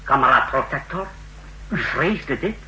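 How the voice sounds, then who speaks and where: An actor playing a tense, defensive secret-service chief in a 1960s radio drama, with clipped, emphatic, formal delivery. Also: male voice, mid-range voice, expressive, measured pace, bright, forceful tone, low-quality recording. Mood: anxious, defensive. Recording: hissy, humming 1960s radio recording.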